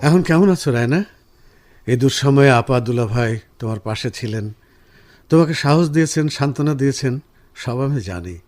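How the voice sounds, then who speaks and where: A man acts a caring professional husband in a radio play. His voice sits at a middling pitch and he speaks tenderly and reassuringly. Light music plays behind him. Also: lively intonation, moderate pace, a little mellow, close to an everyday voice. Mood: tender, relieved. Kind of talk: Bengali radio drama acting.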